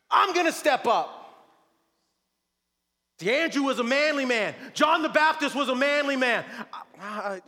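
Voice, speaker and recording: Male pastor, bright and forceful, emphatic sermon delivery in a church with a long reverb. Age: adult with a wife and kids. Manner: emphatic preaching, swings from shouting to low and quiet. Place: church sanctuary. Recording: long reverb of a large hall.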